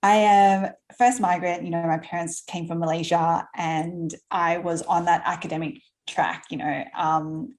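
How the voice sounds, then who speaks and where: Aussie woman in her 30s, chatty podcast guest, lots of ums and little laughs, slightly low voice. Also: flat delivery, measured pace, hardly any pauses, a little mellow. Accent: Australian. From Australia. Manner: chatty, laughs often, many fillers and restarts. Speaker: guest entrepreneur. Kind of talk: casual conversational interview.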